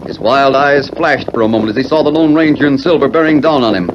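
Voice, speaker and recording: A man's voice: mid-range voice, fast. A man narrating a 1940s radio western in a formal, dramatic storytelling style. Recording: noisy, muffled 1940s radio recording.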